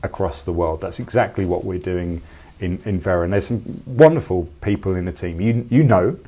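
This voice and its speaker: A man's voice: low-pitched, normal speed, dark tone. A man co-hosting a podcast, talking in an upbeat, enthusiastic way. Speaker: podcast co-host. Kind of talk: casual podcast chat.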